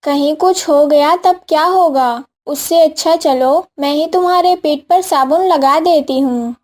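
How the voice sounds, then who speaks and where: A gentle, hardworking daughter-in-law who has been wronged, played by a woman in a cartoon moral story. The voice is high and plaintive, and the words come slowly and tenderly. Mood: caring.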